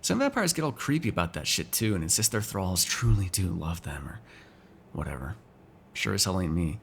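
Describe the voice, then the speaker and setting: A man's voice: deep voice, big pitch swings, fairly quick, vocal fry, a little hiss or hum, full character voice. A man playing a sardonic, teasing, foul-mouthed vampire in an ASMR roleplay, talking casually to one listener. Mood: guarded, evasive. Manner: casual, teasing, sarcastic, swears freely.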